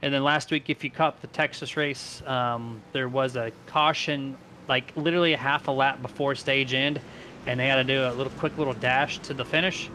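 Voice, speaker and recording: A man's voice: medium pitch, fairly even intonation, moderate pace, pushed and bright, slight background noise. A man doing live play-by-play commentary on a sim race in a casual, conversational manner.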